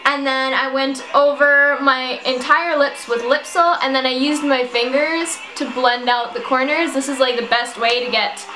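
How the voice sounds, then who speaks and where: A young woman with a fairly high voice walks through a how-to tutorial in a breezy, informal manner. She sounds slightly under the weather, as if her voice is starting to give out. Her phrasing is slangy and casual, like talking to friends. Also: fairly even intonation, moderate pace, hardly any pauses, sharp tone, low-quality recording. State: slightly sick, voice giving out. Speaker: makeup tutorial host.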